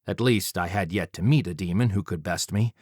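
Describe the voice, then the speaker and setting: Measured male audiobook narrator, very dry sound. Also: sits low, a little mellow, dry recording. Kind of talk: scripted first-person narration.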